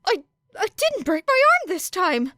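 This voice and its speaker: A woman's voice: very animated, unhurried, sharp tone, no room sound, studio quality, exaggerated voice. A woman voicing a nervous, earnest teenage boy who stammers out his words. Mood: anxious. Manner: anxious, stammering, high-strung.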